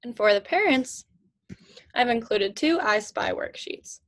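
Young woman doing a kids read-aloud, kinda high voice, really slow and singsongy, asks little questions. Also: lively intonation, fairly bright tone, slight background noise. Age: young adult, 20s. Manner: slow, simple, teacherly phrasing with questions. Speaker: student reading a picture book aloud to children. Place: small indoor room at home with shelves.

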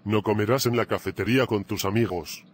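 A man voicing an angry red-faced school principal, loud and scolding. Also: fairly low pitch, fairly quick, slight background noise, lightly acted. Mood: furious.

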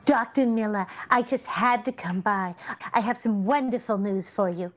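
A woman's voice: mid-range voice, moderate pace, soft background music, natural voice. A middle-aged woman playing a cheerful, naively confident scatterbrained wife in a 1940s-style radio comedy. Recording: slightly dull online-call audio.

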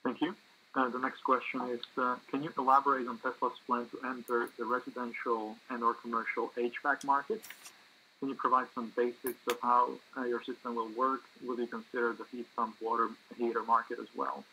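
A man's voice: medium pitch, flat delivery, fairly quick, sharp tone. A man moderating an earnings call, reading out submitted questions. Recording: muffled, noisy conference call audio.

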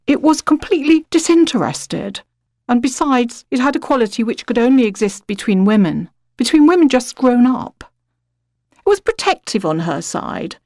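Woman, British accent, audiobook narrator, reflective and expressive, medium pitch, dry close recording. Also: dry recording. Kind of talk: literary audiobook narration, dramatic reading.

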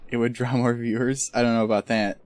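A man's voice: medium pitch, level tone, brisk, a little mellow, dry recording. A man streaming a video game, chatting casually and joking in a rambling way. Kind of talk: casual chat while gaming.

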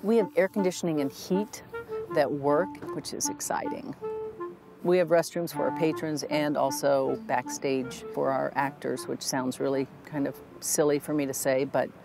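A woman's voice: low voice, normal speed, vocal fry, over music. A middle-aged woman, a theater director, talking earnestly in a documentary interview, with a few restarts. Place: theater lobby, indoors.

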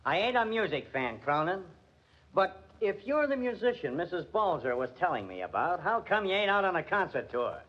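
Gruff high-pitched radio detective. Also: male voice, very animated, moderate pace. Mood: suspicious, curt. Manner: brusque, slangy tough-guy talk. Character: gruff, bullying, impatient police detective who hates music. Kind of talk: 1940s radio acting. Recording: muffled, hissy 1940s radio recording.

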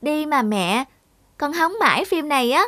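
A woman voicing an eager, easily upset young girl in a cartoon, with a whiny, expressive, childlike delivery. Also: sits high, normal speed. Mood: eager pleading. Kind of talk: animated cartoon dubbing. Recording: loud music behind the voice.

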